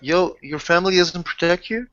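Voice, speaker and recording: Friendly, protective guy with halting speech, man roleplaying. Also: sits high, fairly bright tone, lo-fi sound. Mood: concerned, pleading. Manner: halting, repetitive, familiar.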